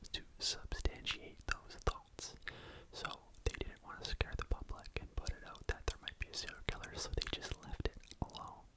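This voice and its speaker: A person telling a true crime story in a breathy, unvoiced ASMR whisper, with a casual, conversational manner. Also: low-quality recording. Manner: casual, conversational retelling with repetition.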